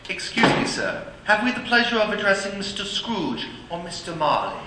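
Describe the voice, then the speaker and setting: An actor playing a polite, hesitant charity collector in a live stage radio play. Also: male voice, high-pitched, very animated, moderate pace, bright, forceful tone, heavily acted. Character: polite male charity collector, genteel and hopeful. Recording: echoey stage with faint ambience.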